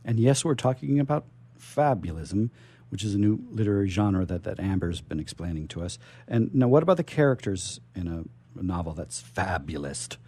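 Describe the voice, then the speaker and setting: A male radio host with a soft, mellow tone and a very lively, swooping pitch. He chats playfully and a little theatrically, often halting and doubling back on his words as he interviews. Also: husky. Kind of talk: live radio interview, chatty. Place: radio station studio.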